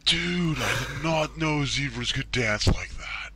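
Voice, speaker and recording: A man's voice: medium pitch, a little slow, a crowd in the background, natural voice. A man voicing a dim-witted, laid-back stoner with a drawl in an anime parody. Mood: spaced-out, amused. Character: spaced-out, dim-witted male stoner slacker. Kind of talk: comedic cartoon parody dubbing.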